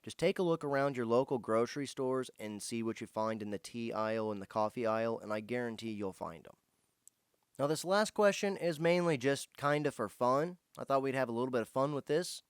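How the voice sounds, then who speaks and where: A man's voice: medium pitch, lively intonation, brisk, clear, resonant tone, dry recording, studio quality. A man speaking in an earnest, easygoing way as he answers viewer questions in a casual vlog. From United States, Indiana. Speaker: host of a foraging and herbal channel.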